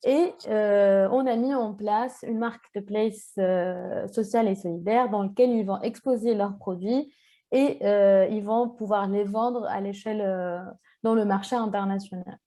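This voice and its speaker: A woman's voice: mid-range voice, steady tone, measured pace, dark tone. A woman from Tunisia, cofounder of a social enterprise, introducing herself on a webinar with frequent hesitations.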